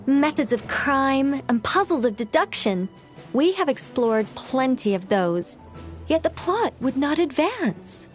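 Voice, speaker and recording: Eccentric, high-voiced theatrical lady novelist. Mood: dramatic, lofty. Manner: theatrical, formal, self-assured. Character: eccentric, theatrical woman novelist with grandiose schemes. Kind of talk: video game voice acting.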